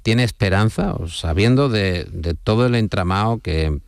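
A man with a low voice conducts a formal radio interview. He builds long questions in a measured way, with frequent restarts. The sound is dry and close. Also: animated, vocal fry, no room sound.

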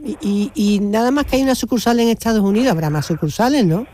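A woman's voice: on the high side, quick pace, dark tone. A woman hosting a casual radio interview, asking questions in an informal, hesitant way. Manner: inquisitive, informal, hesitant phrasing. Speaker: radio host. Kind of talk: casual live phone interview.

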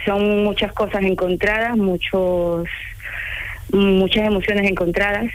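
A woman's voice: medium pitch, flat delivery, unhurried. A woman from Ecuador with a mild Ecuadorian accent, chatting casually as a caller on a radio show. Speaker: radio caller, home care worker. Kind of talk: casual chat. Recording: noisy telephone call to radio.

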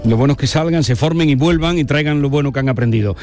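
A man's voice: on the high side, fast, darkish tone. A man from interviewing informally on the radio, politely and encouragingly, feeling his way through his phrases. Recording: music playing behind.

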